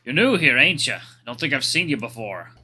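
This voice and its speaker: Man putting on a cocky Irish raptor, forceful and bright. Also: mid-range voice, very animated, brisk, breathy edge, soft background music, heavily acted. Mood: cocky, welcoming. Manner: teasing, forward, coaching. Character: huge cocky flirty male raptor gym regular. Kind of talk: visual novel character voice-acting.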